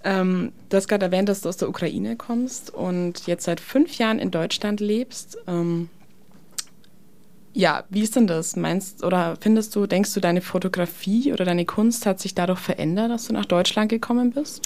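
A woman's voice: mid-range voice, moderate pace, a little mellow. Woman radio host, casual questions, lots of ähm. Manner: friendly questions with filler words.

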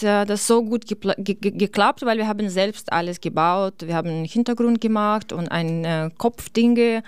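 A woman from Ukraine, a photographer in her forties, answering interview questions in halting German with many fillers. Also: medium pitch, darkish tone, clear, resonant tone, no room sound. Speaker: guest photographer. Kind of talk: radio interview.

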